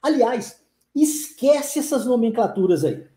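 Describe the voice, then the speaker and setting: A man in his forties with a soft, mellow voice teaches a live online class. He talks emphatically, pushing his argument and repeating rhetorical questions to the viewers. The room around him rings with a noticeable echo. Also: very high-pitched, sing-song, a little slow. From born in Brazil, raised in the United States. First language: English. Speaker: English teacher.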